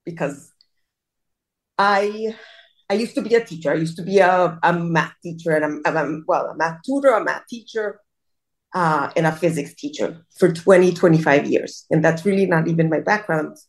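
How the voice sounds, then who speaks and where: A woman's voice: fairly low pitch, normal speed. A middle-aged woman from Colombia, telling her story in a casual interview and rambling with many restarts and self-deprecating asides, over a video call. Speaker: guest.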